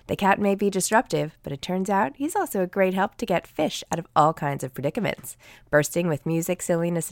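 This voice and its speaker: A woman's voice: lowish voice, vocal fry, no echo, studio quality. A woman reading a scripted promotional spot for a family podcast. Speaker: podcast advertisement reader.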